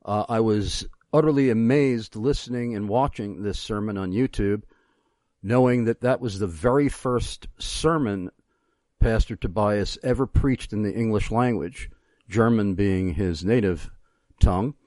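Male interview host for radio. Low voice, dry studio-like sound, effusive, wordy, halting delivery. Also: a little mellow, no room sound. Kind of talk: conversational radio interview hosting.

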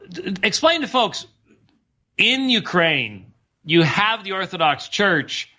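A man's voice: a little high, bright, forceful tone. A male co-host musing aloud in meandering questions, full of fillers. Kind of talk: radio interview discussion.

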